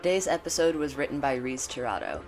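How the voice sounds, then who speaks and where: Man reading credits over loud music. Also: medium pitch, animated, fast. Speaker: credits announcer. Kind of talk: scripted narration.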